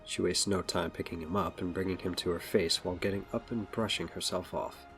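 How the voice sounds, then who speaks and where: A man's voice: low-pitched, steady tone, a little fast, fairly bright tone, soft background music. A man narrating a scripted action story as the narrator of an audio drama.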